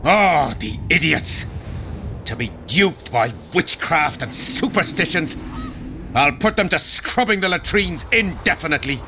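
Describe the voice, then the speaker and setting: Blunt, battle-hardened medieval soldier. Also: male voice, fairly high pitch, expressive, a little slow, background noise. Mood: irritated. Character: rough, battle-hardened medieval army veteran, proud and blunt. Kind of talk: video game dialogue acting.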